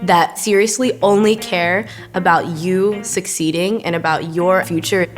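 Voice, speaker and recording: A woman's voice: on the low side, fairly even intonation, measured pace. A woman, a graduating student, speaking confidently in a testimonial. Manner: confident, ambitious. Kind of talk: interview testimonial. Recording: music bed underneath.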